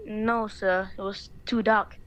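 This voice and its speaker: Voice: medium pitch, little pitch movement, slow pace, a bit bright, clear, resonant tone. An actor playing a polite, deferential teenage boy working as a night porter in an audio play, in slightly dull sound.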